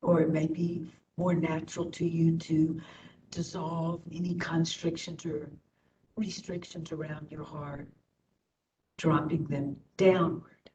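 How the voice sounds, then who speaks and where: A woman instructor leading a guided meditation in short phrases with long pauses. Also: flat delivery, darkish tone. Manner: slow, pausing between short phrases. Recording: distant mic, dull, faint rumbling noise.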